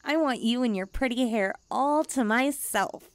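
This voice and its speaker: A woman's voice: a little high, measured pace, clean tone, dry recording, close to an everyday voice. A woman voicing a cute, self-important young stray catgirl in a languid ASMR roleplay. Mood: cozy, content. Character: cute, smug, pampered, drowsy young female stray catgirl.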